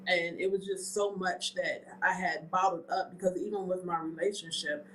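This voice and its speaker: A woman's voice: fairly low pitch, fairly even intonation, moderate pace, a bit bright, slight background noise, rough recording. A woman guest author speaking candidly and hesitantly in an interview, with many ums. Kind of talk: personal testimony in interview.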